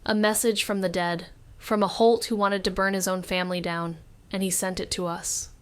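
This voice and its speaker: A woman's voice: mid-range voice, level tone, moderate pace, clean tone, lightly acted. An actress playing a wary, sardonic young woman detective who narrates a noir audio drama with dry sarcasm. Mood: brooding, tense. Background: background music.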